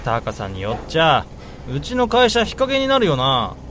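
A man plays a grumbling senior office worker in a radio drama. His fairly high voice swings widely in pitch at an unhurried pace, with rough, casual phrasing. There is clear noise behind him. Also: huge pitch swings, a bit bright, full character voice. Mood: grumbling, exasperated. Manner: rough, casual, complaining speech. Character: blunt, grumbling male senior office colleague, work-shy.

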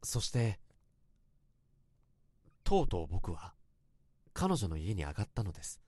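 An actor playing an earnest young office worker who narrates a past infatuation in a radio drama, with polite, literary phrasing. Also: male voice, low voice, very animated, a little slow, darkish tone, breathy edge, dry recording, full character voice. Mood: earnest, nervous. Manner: polite, formal, literary storytelling.

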